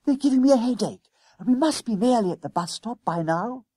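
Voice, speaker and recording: Bossy, eager schoolboy schemer, played by a male reader, high-pitched and swooping, storybook style. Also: lively intonation, fairly quick, dark tone, breathy edge, dry recording, studio quality, exaggerated voice. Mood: conspiratorial. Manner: urgent whispers and gasps, old-fashioned schoolboy slang. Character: bossy, excitable schoolboy ringleader, eager and conspiratorial.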